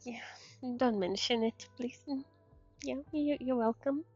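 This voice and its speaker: A woman's voice: sits high, a little slow, darkish tone, breathy edge. A woman streaming a game with casual commentary in non-native English, often searching for words. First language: not English. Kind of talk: casual gameplay commentary, reading dialogue aloud. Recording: dull sound with faint music behind. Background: game music.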